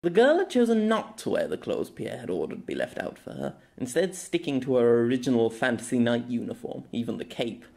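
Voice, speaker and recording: A man's voice: medium pitch, sing-song, fairly quick, creaky, hardly any pauses, darkish tone. A man narrating a scripted novella as an audiobook. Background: music.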